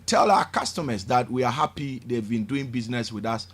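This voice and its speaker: Ghanaian bank exec selling a loan on the radio, lots of ums, mid voice, upbeat pitch. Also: male voice, expressive, a little fast, slightly rough. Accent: Ghanaian English. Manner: hesitant fillers, then persuasive and upbeat pitching. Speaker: bank executive guest. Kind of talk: radio interview, promotional explanation.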